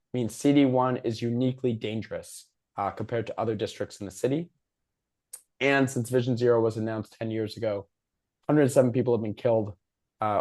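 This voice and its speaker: A man with a worn, hoarse voice speaks at an average pitch. He moderates a public discussion over a video call, giving a prepared presentation in an informal way. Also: a little mellow. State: hoarse, losing his voice. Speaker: moderator and host of a civic discussion.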